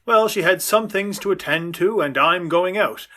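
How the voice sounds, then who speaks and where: A man's voice: sits high, normal speed, pushed and bright. A man playing a harried, henpecked middle-aged father in 1940s-style radio comedy, stammering and easily exasperated. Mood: impatient. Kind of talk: 1940s radio sitcom acting.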